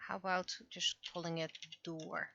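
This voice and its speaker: A woman livestreaming casually while she works, muttering to herself and questioning things aloud in an expressive way. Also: fairly low pitch, moderate pace, creaky, muffled recording, a little hiss or hum. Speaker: livestreamer, webcomic and visual novel creator.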